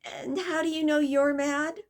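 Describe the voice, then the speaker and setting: A woman's voice: sits high, level tone, unhurried, voice pushed up. A woman voicing a polite, curious young girl, speaking in a raised, earnest, slightly prim tone in a storybook reading. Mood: inquisitive. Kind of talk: storybook read-aloud.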